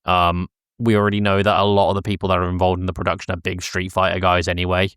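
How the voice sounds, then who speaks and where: A man's voice: low-pitched, monotone, quick pace, a bit bright, clear, resonant tone, no echo, studio quality. A man chatting casually about films as a podcast co-host. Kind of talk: casual film discussion chat.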